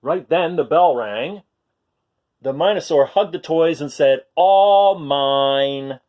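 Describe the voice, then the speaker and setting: A man reads a picture book aloud for young children. His pitch swings up and down a lot, and he breaks into chatty, questioning asides to his listeners in an expressive way. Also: a little slow, muffled recording. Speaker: children's storytime reader.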